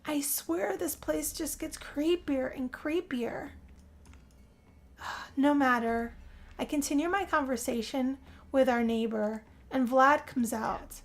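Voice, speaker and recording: Slow, high-pitched woman narrating dramatically. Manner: theatrical, drawn-out delivery with pauses. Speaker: gameplay narrator. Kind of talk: first-person role-play storytelling, dramatic narration.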